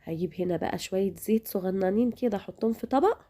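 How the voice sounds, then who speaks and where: A woman's voice: medium pitch, fast, warm tone. A woman with an Egyptian Arabic accent, hosting a home cooking demonstration in a chatty way, speaking straight to her viewers, her voice a little worn from a recent illness. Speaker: cooking channel host.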